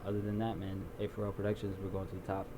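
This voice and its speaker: A young man being interviewed about his video production company, talking in a relaxed, rambling way with lots of ums. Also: on the low side, very fast. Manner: relaxed storyteller, many ums and restarts. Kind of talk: casual interview. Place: small recording studio. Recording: noisy background.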